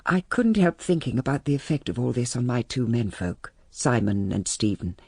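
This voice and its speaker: An older English gentlewoman of a country house, narrating a radio drama. Her voice is husky with plenty of creak, and it moves expressively up and down. She is measured, reflective and composed. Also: a bass voice, very animated, no room sound, clean studio sound, heavily acted. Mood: reflective, troubled. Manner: measured, reflective, well-mannered. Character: composed, genteel mother of a troubled country-house family. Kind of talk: radio drama acting, intimate first-person narration.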